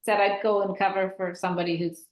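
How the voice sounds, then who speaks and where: A retired woman teacher chatting casually on a call, brisk and asking many questions. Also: medium pitch, flat delivery. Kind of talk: casual chat on a video call. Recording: echoey room.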